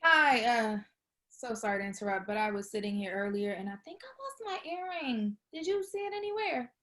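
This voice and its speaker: Casting: actress for awkward, eager young woman, lightly high voice, hesitant delivery, table-read drama. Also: expressive, brisk, fairly bright tone. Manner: hesitant, repeats herself. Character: awkward, eager young woman fibbing her way through job hunt.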